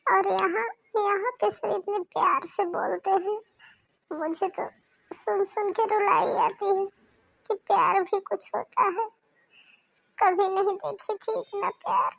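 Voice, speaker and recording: A woman's voice: high-pitched, little pitch movement, measured pace. A woman doing a meek, tearful young bride. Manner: soft, pleading, tearful.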